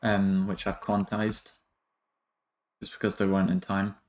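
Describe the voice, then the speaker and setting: Male tutorial host, low register, very even delivery, halting and informal, working through steps aloud. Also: slight background noise. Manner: hesitant, halting, thinking aloud. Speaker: music production tutorial host. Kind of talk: casual software tutorial narration.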